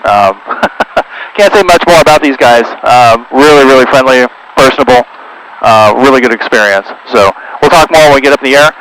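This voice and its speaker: A man's voice: medium pitch, lively intonation, normal speed, fairly bright tone, a little hiss or hum, low-quality recording. A middle-aged man, a pilot, chatting casually to his viewers from a small plane on a headset. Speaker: pilot and vlogger. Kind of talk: casual talk to camera.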